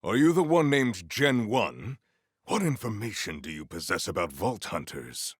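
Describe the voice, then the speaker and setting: An actor playing a noble, earnest warrior hero in a video game, speaking in a pompous, formal and grandiose way. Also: male voice, fairly low pitch, very animated, measured pace, slightly rough, dry recording, studio quality, heavily acted. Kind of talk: video game character voice acting.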